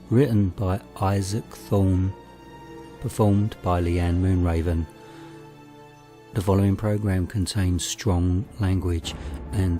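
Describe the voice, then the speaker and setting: Really low slow guy narrating a horror show, ominous and theatrical, music behind him. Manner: ominous, deliberate. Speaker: narrator and presenter.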